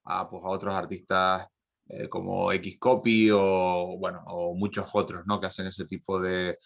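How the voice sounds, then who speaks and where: A man moderating a conference Q&A, asking long, halting questions full of searching pauses. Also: deep voice, little pitch movement, creaky, highs cut off.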